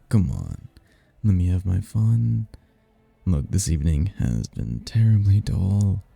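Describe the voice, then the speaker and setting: Smug, flirtatious demon prince played by a male actor, very dark husky voice, close dry sound, for ASMR roleplay. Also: no room sound, full character voice. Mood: bored, scornful. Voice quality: low, gravelly, husky. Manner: teasing, smug, drawn-out flirtatious delivery. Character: smooth-talking seductive male demon prince, playful, teasing, bored. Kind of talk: audio roleplay, ASMR-style voice acting.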